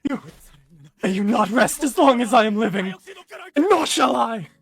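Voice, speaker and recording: A man's voice: expressive, normal speed, gravelly, a bit bright, faint music behind, heavily acted. A man voicing an anguished, self-loathing young man maddened by grief over lost love, in an amateur game dub. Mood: anguished raving. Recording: low-quality amateur voice-chat recording.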